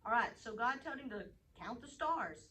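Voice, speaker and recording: A woman hosting a children's Bible story time, animated as she reads and chats directly to young listeners. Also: a little high, moderate pace. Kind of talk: reading bedtime Bible stories to children, chatting with a puppet. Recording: distant mic, faint background noise.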